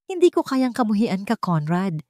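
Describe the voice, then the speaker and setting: A woman's voice: mid-range voice, big pitch swings, moderate pace, mellow, no room sound, clean studio sound, voice pushed up, lightly acted. A woman voicing a betrayed young fiancée, wounded and resolute, speaking emotionally in an audio love drama. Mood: sad resolve. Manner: emotional, confrontational.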